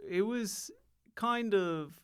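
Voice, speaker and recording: Rambling young Irish man, smooth voice. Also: high voice, very slow, fairly bright tone. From Ireland. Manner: rambling, self-interrupting, frequent restarts and laughs. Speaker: comedian guest. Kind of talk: casual podcast chat.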